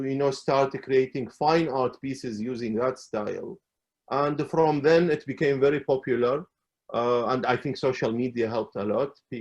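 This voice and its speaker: A man's voice: medium pitch, darkish tone. Hesitant man on a muffled call. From Iraq. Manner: halting, many fillers and restarts. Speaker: calligrapher. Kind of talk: interview guest, storytelling.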